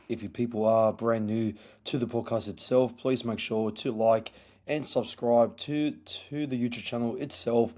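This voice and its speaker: A man hosting a casual sports review podcast, his voice hoarse from yelling, halting with many fillers and restarts. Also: on the low side. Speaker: podcast host.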